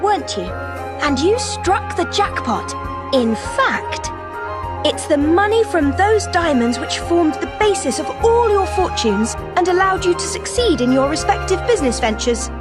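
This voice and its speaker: A woman playing a poised, confident young British detective in a game, explaining in measured, formal sentences. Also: sits high. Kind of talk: scripted game voice acting. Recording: loud music under the voice.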